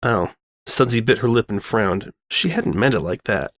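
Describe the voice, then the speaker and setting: A man's voice: on the low side, expressive, normal speed, fairly bright tone, no echo. A man reading fan fiction as scripted story narration.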